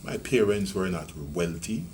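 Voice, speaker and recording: Deep Jamaican man in his sixties. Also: lively intonation, a little mellow, a little hiss or hum. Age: 60s. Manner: measured, reminiscing, with many ums and restarts. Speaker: retiring police deputy commissioner. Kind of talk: reflective interview storytelling.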